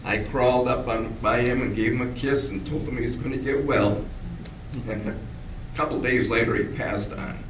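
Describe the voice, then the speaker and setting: A man's voice: medium pitch, steady tone, background noise. Older man reminiscing in a roomy hall. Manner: halting, with many restarts and pauses. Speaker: audience member, descendant. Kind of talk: spontaneous reminiscing from the audience. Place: meeting room at a public talk. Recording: roomy hall, mic at a distance.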